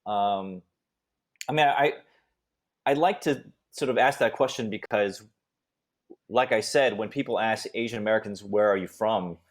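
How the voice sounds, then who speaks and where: A man's voice: lowish voice, moderate pace, long pauses. A man from the Bay Area, moderating a casual live-streamed panel, easygoing with plenty of fillers and restarts. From California Bay Area. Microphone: home video call.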